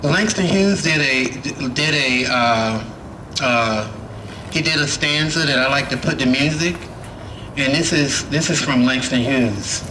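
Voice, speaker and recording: A man's voice: mid-range voice, measured pace, noisy background. A man performing spoken word poetry over live music, with a rhythmic, incantatory delivery and chanted refrains, on an outdoor park terrace. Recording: echoey outdoor stage with loud live band. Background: live instruments playing.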